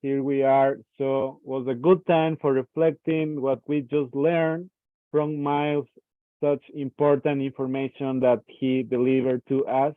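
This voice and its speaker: A man from Ecuador with an Ecuadorian Spanish accent, a native Spanish speaker moderating an online training. He introduces the next speaker, halting now and then as he searches for words. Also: mid-range voice, little pitch movement, dry recording. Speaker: session moderator. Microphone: video call. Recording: dry, tinny phone-like line.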